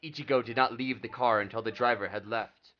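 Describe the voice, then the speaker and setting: A man's voice: mid-range voice, steady tone, brisk, dull audio. A man giving scripted dramatic narration as the narrator of a story.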